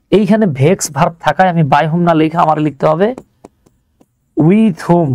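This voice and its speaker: A Bangladeshi man speaks in a fairly high but mellow voice, recorded close and dry. He teaches grammar to online students informally, breaking things into steps. He often asks a question and then answers it himself. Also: no room sound. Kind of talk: live online lesson, explaining step by step to students.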